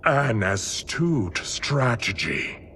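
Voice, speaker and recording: An actor playing a cold, scheming necromancer in a video game, speaking with formal, archaic menace. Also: male voice, mid-range voice, very animated, extremely slow, fairly bright tone, a little hiss or hum, exaggerated voice. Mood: cold, menacing. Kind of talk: video game cutscene acting.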